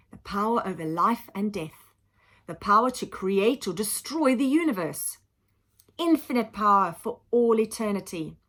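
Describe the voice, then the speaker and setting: Animated, slightly husky Englishwoman reading a storybook. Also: medium pitch. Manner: animated, dramatic emphasis, explaining simply for children. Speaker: teacher reading aloud to children. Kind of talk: expressive children's storybook reading with explanatory asides.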